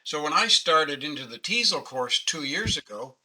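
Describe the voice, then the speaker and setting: Bright-voiced older Canadian man teaching. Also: a little high, animated, moderate pace, slightly rough, poor audio. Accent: Canadian English. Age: 60s. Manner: patient, conversational, self-deprecating. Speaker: webinar presenter. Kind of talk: casual online teaching. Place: home office. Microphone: webcam video call.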